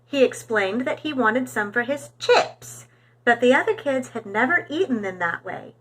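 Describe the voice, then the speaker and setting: Woman, children's storytime narrator, highish pitch, very lively intonation, expressive reading. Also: normal speed, a little hiss or hum. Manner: animated, clear storytelling for young children.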